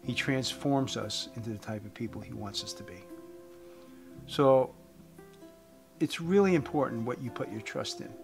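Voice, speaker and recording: A man's voice: medium pitch, normal speed, vocal fry. Earnest man preaching over guitar music. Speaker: host giving a faith message. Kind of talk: evangelistic talk to camera. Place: indoors, room with wooden beams.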